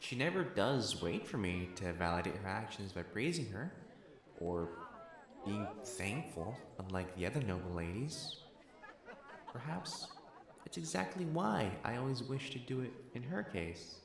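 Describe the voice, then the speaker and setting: A man's voice: on the low side, sing-song, unhurried, creaky, a crowd in the background, full character voice. A man playing a proud, possessive noble fiancé in a romantic audio roleplay, haughty and formal in speech and easily flustered. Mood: wistful, tender.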